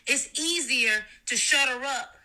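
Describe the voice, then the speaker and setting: A woman's voice: sits high, unhurried, pushed and bright. A woman in a social media video, lecturing her audience in a preachy way.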